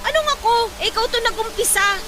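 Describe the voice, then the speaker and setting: High-voiced, hot-headed young daughter. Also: female voice, fast, heavy background noise. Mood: defensive, irritated. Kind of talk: Tagalog radio drama acting. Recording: loud music under the dialogue.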